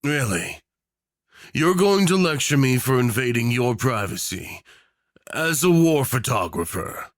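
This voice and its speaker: A man's voice: medium pitch, animated, unhurried, no room sound, clean studio sound, natural voice. A man playing a doting, unhinged rich tyrant in an obsessive romance roleplay, theatrical and saccharine, teasing with pet names. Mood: smug, amused. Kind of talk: yandere ASMR roleplay acting.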